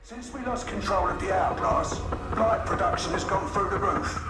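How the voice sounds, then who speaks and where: A man plays a plain-talking game officer with a high voice. He is blunt and informal. His voice echoes under loud music. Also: normal speed, lightly acted.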